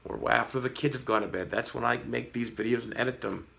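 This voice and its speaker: A man in his thirties with a slightly hoarse voice, talking frankly and earnestly to his viewers in a casual vlog, with a light sarcastic edge. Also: medium pitch, fairly even intonation, quick pace, a bit bright. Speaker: fish-keeping vlogger. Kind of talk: casual talk to camera.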